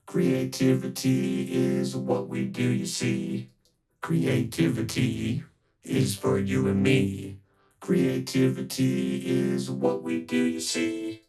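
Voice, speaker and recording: A man's voice: takes it slowly, pauses often, over music, rough recording. Robotic vocoded male vocal loop. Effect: vocoder, robotic synth-toned. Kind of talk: looped rhythmic vocal phrase.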